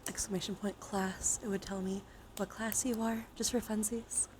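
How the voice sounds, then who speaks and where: A woman live streamer speaking softly, often in a whisper, in a gentle ASMR style mixed with casual chat. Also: mid-range voice, moderate pace. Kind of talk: ASMR, soft chatty livestream talk. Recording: clear steady background noise.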